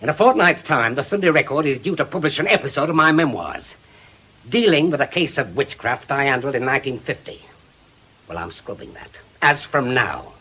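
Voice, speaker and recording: Bitter, husky British ex-policeman, intense. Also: mid-range voice, lively intonation, fairly quick, low-quality recording. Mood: vengeful. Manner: forceful, sardonic, hectoring. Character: bitter, obsessive widowed ex-policeman bent on revenge. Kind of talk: British radio drama acting.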